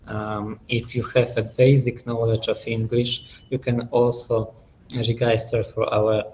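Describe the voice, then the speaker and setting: A young man presenting a university in a webinar, speaking slowly with many fillers and restarts, from a small office over a webcam. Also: mid-range voice, flat delivery, darkish tone, a little hiss or hum. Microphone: webcam on video call. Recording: dull lo-fi webcam audio.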